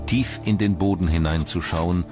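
A man narrates a documentary from a script in standard German. His voice sits very low, and music plays clearly behind him. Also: fairly even intonation, moderate pace. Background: background music.

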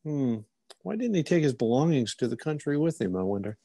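A man's voice: mid-range voice, very animated, fairly quick, dark tone, no room sound, clean studio sound. A man playing a courteous, inquisitive police officer who muses and probes politely, in old-time radio theater style. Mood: probing. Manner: polite, musing, probing.

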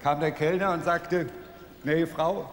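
A man's voice: on the high side, fairly even intonation, measured pace, slight background noise. A middle-aged man from Berlin, a guest on a TV show, telling an anecdote in a dry way on a big studio stage. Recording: echoey studio hall.

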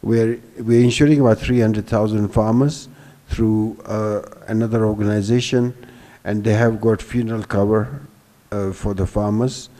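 A man in his sixties explaining things as a panelist, halting and restarting words, speaking into a handheld microphone on stage. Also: lowish voice, steady tone, warm tone, in a large hall. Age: 60s. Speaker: panelist, business executive. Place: hall stage before a seated audience. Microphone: handheld microphone held at the chest.

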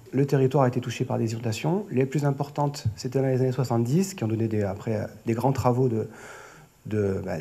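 A man's voice: medium pitch, vocal fry, dark tone, husky. A man in his thirties with an accent from France, giving a semi-improvised conference presentation in a hesitant way, often repeating and rephrasing his words. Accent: French from France. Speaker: flood prevention project manager, conference speaker. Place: auditorium stage at a lectern. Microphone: lectern gooseneck microphone.

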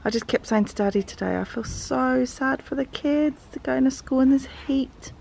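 A woman's voice: high-pitched, moderate pace, vocal fry, a little mellow. A woman in her thirties with an English accent, chatting casually and affectionately with her children as a family vlogger. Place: family home, rooms and garden. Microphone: camera microphone. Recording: noisy handheld home camera audio.

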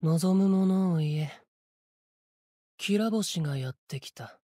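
A man voicing a solemn, cryptic sword spirit warrior in a Japanese game, with measured, portentous, archaic phrasing and a breathy voice. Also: high voice, mellow, dry recording, clean studio sound. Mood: cool, imperious. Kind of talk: Japanese game character voice lines.

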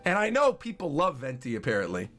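A man in his twenties giving casual, excitable live commentary as a streamer reacting to game trailers. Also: fairly high pitch, quick pace. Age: 20s. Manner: excitable, exclaiming. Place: small furnished room indoors. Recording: game trailer music playing behind.

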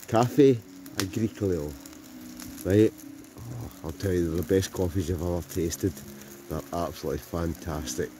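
A man's voice: low-pitched, moderate pace, noisy background. A Scottish man chatting cheerily to viewers as he vlogs a night of campfire cooking outdoors. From Scotland. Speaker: vlogger. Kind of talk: casual camping vlog. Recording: outdoor campfire recording with music behind. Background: music playing, food sizzling.

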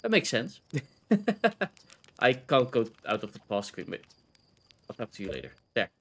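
A man's voice: medium pitch, wildly swooping pitch, unhurried, fairly bright tone. A man from the Netherlands with a Dutch accent, chatting casually and rambling while streaming a video game, laughing often.

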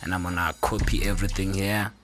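A man hosting a software tutorial in a casual, informal way, talking through each step with upbeat interjections. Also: deep voice, flat delivery, quick pace, noisy background. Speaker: tutorial host. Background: music.

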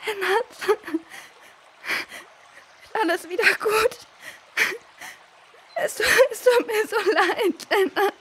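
A woman's voice: very high-pitched, slow, long pauses. A woman playing a frightened, emotional young girl in a children's audio drama, exclaiming in short, urgent bursts. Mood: tearful, remorseful.

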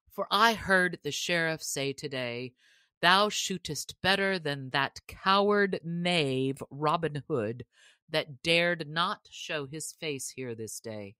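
A woman's voice: sits low, sharp tone, no echo, exaggerated voice. A woman voicing a proud, spirited male outlaw leader in a storybook, using archaic speech.

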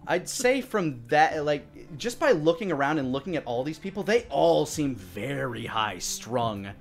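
A man in his thirties improvising tabletop game narration as game master, in a halting, searching way. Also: fairly high pitch, big pitch swings. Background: faint music.

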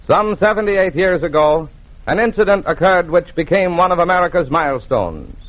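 A man announcing and narrating a 1940s radio program with formal, dramatic, scripted delivery. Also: high voice. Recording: muffled, noisy 1940s radio transcription.

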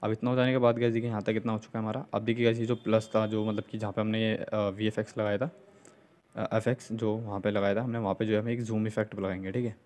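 A man's voice: on the low side, level tone, fast talker, darkish tone. A young man narrating a casual step-by-step tutorial, often dropping in check-in tags and filler phrases. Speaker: video editing tutorial host. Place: voice-over on a screen recording.

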